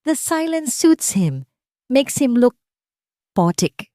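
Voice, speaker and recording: A woman narrator plays a wealthy, scheming fiancée in an audio story. She speaks with false sweetness and elegance, her pitch swinging widely in a dry, close recording. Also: big pitch swings, slow pace, dark tone, dry recording, heavily acted. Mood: sweetly mocking.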